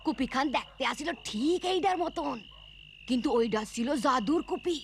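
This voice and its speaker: A woman voicing a kindly old village grandmother in a cartoon, telling bedtime tales in a rural Bangladeshi accent with a creaky voice. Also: sits high, lively intonation, normal speed, slight background noise. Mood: storytelling. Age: older. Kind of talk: cartoon storytelling voice acting.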